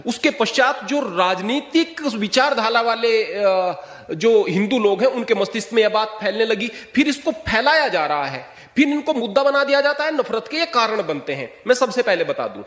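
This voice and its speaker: High-voiced man in an echoey, reverberant space. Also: a bit bright. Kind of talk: earnest explanatory public statement.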